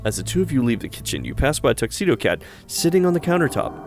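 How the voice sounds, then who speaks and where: A man's voice: medium pitch, very animated, fast, faint music behind. A man hosting a gaming video, casually chatting and reading story narration aloud. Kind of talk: casual let's-play with read-aloud narration.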